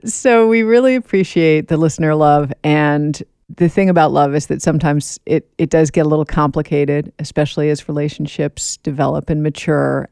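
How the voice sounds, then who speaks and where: Gravelly-voiced playful podcast host. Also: medium pitch, a little mellow, no echo, studio quality. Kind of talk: casual chat.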